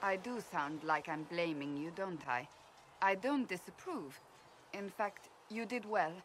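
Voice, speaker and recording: A woman's voice: on the low side, lively intonation, measured pace, a little hiss or hum. An actress playing a stern, fair-minded but exasperated female warrior in a video game, blunt, clipped and firm. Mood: frank, approving. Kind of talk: video game character dialogue.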